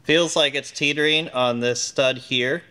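A man's voice: medium pitch, fairly even intonation, unhurried, pushed and bright. A middle-aged man hosting a workshop show, explaining plainly and step by step as he works with his hands in a large indoor garage. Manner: plain, matter-of-fact explaining while working. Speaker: host.

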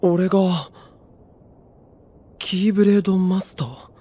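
A cool teenage boy from a Japanese game. His voice is slightly high for a male. He speaks with understated, brotherly reserve. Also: takes it slowly, warm tone, husky, full character voice. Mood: stunned, grateful. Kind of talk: Japanese game cutscene acting.

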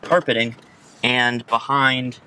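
A man's voice: medium pitch, little pitch movement, slow pace, sharp tone, smooth, rough recording. A young American man giving a casual, informal how-to walkthrough, explaining as he goes. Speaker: how-to presenter. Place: in and beside a parked car outdoors.

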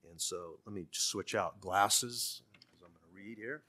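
A man in his sixties from California's Central Valley, an author speaking and reading to the public with measured, deliberate phrasing. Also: fairly low pitch, bright, forceful tone. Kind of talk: public talk and literary reading. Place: conference room. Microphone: gooseneck podium microphone.

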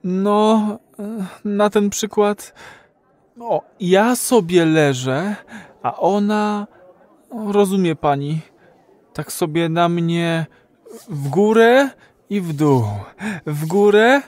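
A man's voice: sits high, extremely slow, pauses often, a little mellow, no room sound. An actor playing a timid, naive male client in an audio drama, hesitant and fumbling for words. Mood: embarrassed, hesitant. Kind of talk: Polish audio drama acting.

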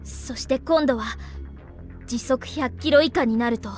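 A brisk, knowing anime schoolgirl, laying out the facts with dry remarks. Her voice sits high, with faint music beneath. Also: darkish tone. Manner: explanatory, dry asides. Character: sharp, know-it-all anime schoolgirl.

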